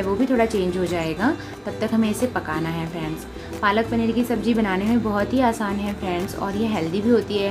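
A woman with an Indian accent giving step-by-step cooking instructions as a home recipe host, often addressing listeners directly. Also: medium pitch. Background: prominent background music.

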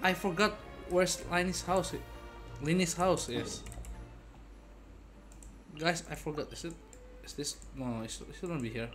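A young adult man giving casual, playful gaming commentary to his viewers like an excitable showman. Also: on the high side, measured pace, pauses often. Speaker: game streamer. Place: indoor gaming setup at home. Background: game music.

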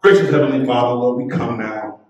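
A middle-aged American man preaching as a pastor in a measured, fervent, declamatory way. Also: mid-range voice, recorded from a distance. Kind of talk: sermon, scripture reading and prayer. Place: church sanctuary at the pulpit. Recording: echoey church hall, off mic.

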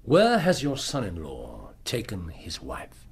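An actor playing a brisk, probing detective in a radio drama, British, dry and superior in manner. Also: male voice, fairly low pitch, wildly swooping pitch, vocal fry, very noisy, full character voice. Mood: dry, insistent.

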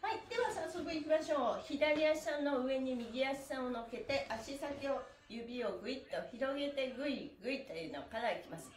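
A woman's voice: high voice, expressive, a little fast, hardly any pauses, a little hiss or hum. A middle-aged woman giving an exercise lesson in a cheerful, polite and encouraging way, leading along as an instructor. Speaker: stretching instructor and pantomime performer. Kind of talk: live-streamed exercise lesson with counting chants. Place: small indoor room on an exercise mat. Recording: roomy echo from camera distance.

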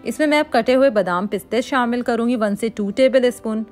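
A woman hosting a cooking channel, calmly explaining a recipe step by step in a voice-over and mixing English words into her talk. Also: on the high side, fairly quick, faint music behind. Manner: calm, instructive, mixes English words into Hindi.

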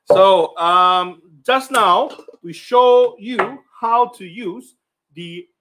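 A man's voice: high-pitched, drawn-out words, fairly bright tone. A middle-aged man hosting a live cooking stream, chatting loosely as he sells a kitchen appliance in front of a stand mic. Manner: chatty, loose sales talk with slips in grammar. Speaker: host of a live cooking show selling an air fryer. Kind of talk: live-stream product demo chat. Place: home kitchen set with a product backdrop, indoors. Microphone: stand mic in front, framed from the waist up.

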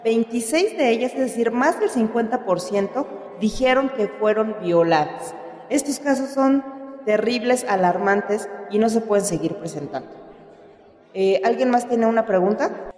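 A woman's voice: on the high side, lively intonation, normal speed, a little mellow, lightly acted. A woman playing an impassioned female party activist in a radio drama, with an emphatic, oratorical delivery. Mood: urgent, concerned. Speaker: actor in a radio serial. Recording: echoey hall.

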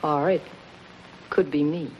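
A bitter, scheming noir dame with a lowish, hard-boiled voice. Her clipped, world-weary dramatic delivery comes through a dull, hissy 1950s film soundtrack. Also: fairly even intonation, slow pace.

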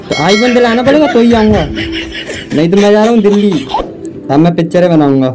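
A man's voice: high voice, animated, brisk, music in the background. A young man doing a prank phone call in a whiny, argumentative, childlike way inside a lift. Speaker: prankster making fake phone calls. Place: inside a crowded metal passenger lift.

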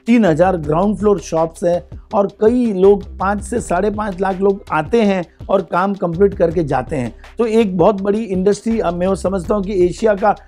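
A man's voice: high-pitched, racing, hardly any pauses, mellow, faint music behind. A middle-aged man from India, president of a market association, explaining confidently in an interview inside a showroom. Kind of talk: interview answers.

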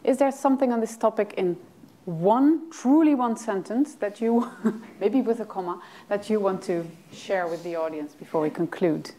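A woman's voice: mid-range voice, moderate pace, warm tone, slight background noise. A young woman moderating a panel discussion on stage, polite and courteous, with a handheld mic in a small lecture hall. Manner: polite, with many fillers and affirmations.

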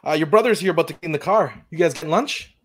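A man's voice: a little high, rapid-fire, fairly bright tone. A man reading a teasing young coworker at a garage over a video call.

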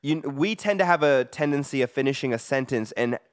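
A man's voice: mid-range voice, expressive, fairly quick. An American man, a guest recording artist, chatting in an easygoing way with loose, run-on phrases. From United States. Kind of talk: casual interview chat.

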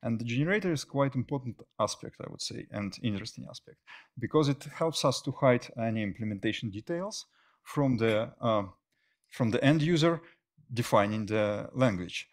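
A middle-aged man presenting technical material as a conference speaker, often pausing mid-phrase. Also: medium pitch, animated. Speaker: conference speaker, software engineer. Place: indoor stage at a tech meetup.

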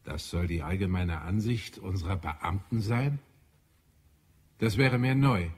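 Casting: dutiful, authoritative alien official for audio drama, slightly low, raspy tone. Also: male voice. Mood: firm, sceptical. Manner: formal, measured, authoritative. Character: composed, dutiful male Martian chief administrator. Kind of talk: German audio drama acting.

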